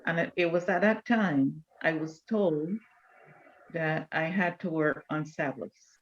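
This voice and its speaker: An older woman, reminiscing in a measured, deliberate way as an interview guest. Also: low-pitched, dull audio. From Barbados. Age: older, around 70. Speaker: church elder, interview guest.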